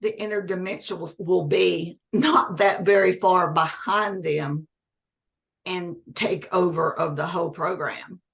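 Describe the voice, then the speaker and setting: A woman's voice: on the low side, fairly even intonation, unhurried, vocal fry, a bit bright. A woman in her sixties, a guest in an interview, speaking earnestly and emphatically and repeating key phrases. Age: 60s. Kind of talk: conversational interview.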